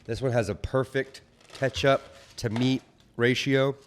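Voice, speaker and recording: A Southern man co-hosting a local talk show, chatting loosely and restarting his sentences. His pitch is mid-range and his voice sits in the middle of the usual male range. He is recorded in a studio. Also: steady tone, unhurried, slight background noise. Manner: rambling, self-correcting, chatty. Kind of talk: casual chat.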